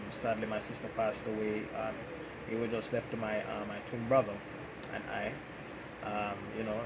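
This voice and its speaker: A Jamaican man who runs his own channel talks through interview questions in an easy, unhurried way. He pauses often and fills the gaps with ums and you-knows. A steady hiss of background noise sits under his voice. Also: medium pitch. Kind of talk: casual interview answers about his life. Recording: noisy background.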